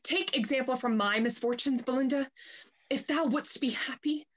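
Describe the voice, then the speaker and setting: A woman's voice: high-pitched, normal speed. A woman playing a bitter, proud society lady in a classical stage comedy, scornful and theatrical. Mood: bitter, wounded. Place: indoors at home, on a video call.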